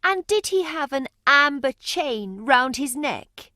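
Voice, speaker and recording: Woman as poor loving beggar mother, storybook drama, emotional, unhurried, close dry sound. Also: high-pitched, lively intonation, sharp tone, no room sound, exaggerated voice. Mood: anxious questioning. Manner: pleading, emotional.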